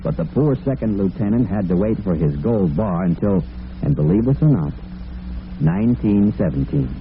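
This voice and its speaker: A man's voice: on the low side, very animated, normal speed. A man working as a radio announcer, reading scripted narration formally in a mid-century broadcast style. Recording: tinny, noisy vintage radio broadcast.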